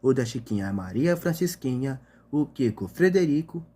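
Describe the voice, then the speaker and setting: Mellow-voiced man recapping an episode. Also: mid-range voice, animated, moderate pace, slightly rough.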